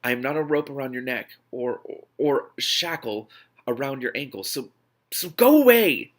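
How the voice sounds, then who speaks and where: A man's voice: mid-range voice, expressive, moderate pace, voice pushed up, close to an everyday voice. Man reading as a flustered, blunt young woman. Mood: angry. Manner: hesitant, exasperated, informal. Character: blunt, flustered young woman narrator heroine, easily exasperated.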